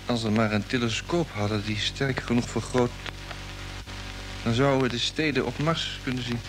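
A curious, easily flustered spaceship crewman played by a man in an old radio serial. His voice is medium in pitch and his talk is plain and colloquial. Music is clearly audible behind him. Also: fairly even intonation, normal speed, natural voice, low-quality recording. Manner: colloquial, stammers when flustered. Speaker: radio drama actor. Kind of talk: vintage Dutch radio serial acting.

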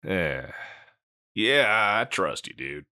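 A man playing a scruffy, insecure slacker catboy pet in a comedic audio roleplay, with a halting, mumbly dude drawl. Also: deep voice, big pitch swings, bright, forceful tone, no echo, clean studio sound, exaggerated voice. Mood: self-deprecating, resigned.

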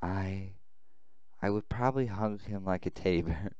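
A man reading a visual novel aloud as a blunt, defensive young woman in a militia, a little halting. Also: low voice, darkish tone, no room sound, highs cut off, close to an everyday voice. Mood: guarded.

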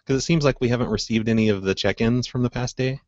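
A man's voice: mid-range voice, fast, no room sound. A man hosting a prank call show, improvising casually in a mischievous, innocent-sounding way, on dull lo-fi audio.

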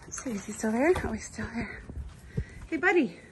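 A woman's voice: on the high side, expressive, normal speed, slight background noise. A woman filming her farm animals outdoors on her phone, chatting casually and playfully talking to the animals. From Arizona, US. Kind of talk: casual phone vlog narration.

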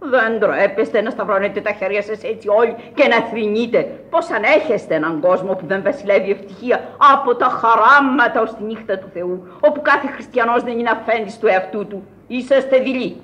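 Actress as an imperious, high-voiced eccentric old countess. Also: female voice, few pauses, fairly bright tone, lightly acted. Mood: indignant, commanding. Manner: commanding, theatrical, grandly eccentric. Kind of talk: Greek radio theatre acting.

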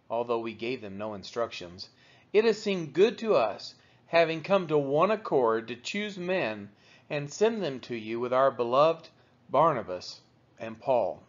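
Guy reading bible passages out loud, lively up and down delivery, kinda dull lo-fi recording. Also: mid-range voice. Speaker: Bible reader. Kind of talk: scripture read aloud, measured narration.

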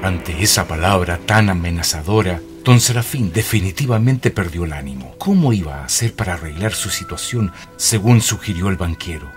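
A man's voice: low voice, expressive, normal speed, few pauses, over music. A man narrating a radio drama in a scripted, dramatic storytelling style.

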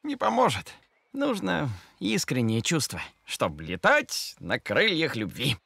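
An earnest cartoon creature with a creaky, bright voice. He muses thoughtfully, with wildly swinging intonation over faint music. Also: fairly high pitch, wildly swooping pitch, slow, no echo, exaggerated voice. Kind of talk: animated children's cartoon acting.

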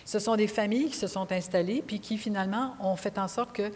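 A woman's voice: medium pitch, a little fast, darkish tone. A woman in her sixties with a Quebec French accent, speaking off the cuff as a panelist on an auditorium stage. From Quebec, Canada. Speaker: panelist, retired judge. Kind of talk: unscripted panel discussion. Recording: reverberant hall, noisy background.

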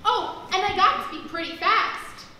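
Very high, bright teenage cashier girl played by a woman. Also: moderate pace, recorded from a distance, heavily acted. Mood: eager. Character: eager, polite teenage girl, new cashier. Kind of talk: amateur stage comedy acting. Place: theatre stage, distant camera. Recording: very echoey stage, faint noise.

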